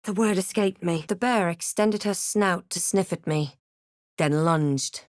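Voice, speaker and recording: A woman playing a snarky, brave adventurer spellcaster in a fantasy video game, speaking in a theatrical, wry and deliberate way. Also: lowish voice, no room sound, clean studio sound, close to an everyday voice. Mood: brooding, dramatic. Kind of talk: video game voice lines, dramatic narration and spell chants.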